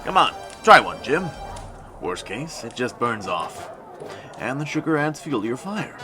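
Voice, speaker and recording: A man's voice: mid-range voice, sing-song, measured pace, music in the background. A man playing a friendly, considerate young soldier hero in an audio drama, casual and sincere.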